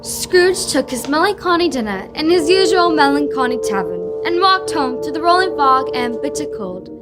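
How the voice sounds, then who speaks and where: A woman's voice: high voice, animated, moderate pace. A young woman reading scripted story narration for an old-time radio drama. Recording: loud music under the voice.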